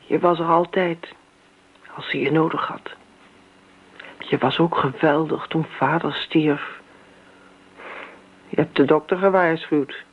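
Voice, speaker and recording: An actress playing a devoted middle-aged daughter, earnest, coaxing and insistent in radio drama style. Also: female voice, sits low, steady tone, slow pace, pauses often, slightly rough, exaggerated voice. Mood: admiring. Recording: tinny, noisy old radio recording.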